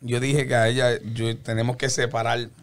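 A man's voice: mid-range voice, little pitch movement, normal speed, slight background noise. A man hosting a casual studio chat, rambling with many restarts and mixing English words into his Spanish. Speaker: public adjuster, show host. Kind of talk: casual radio-show chat. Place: small studio with round table. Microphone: studio mic on boom arm, headphones.

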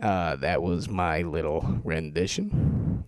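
A man's voice: low voice, slow, a little mellow, dry recording. A man making an amateur voice-over sample, speaking in an informal, joking, self-amused way. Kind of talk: casual chat and rapid-fire list reading.

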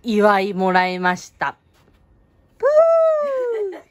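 A woman's voice: on the high side, wildly swooping pitch, extremely slow, a little hiss or hum. A woman co-hosting a family channel, casually chatting while unboxing anime goods and recounting each item.

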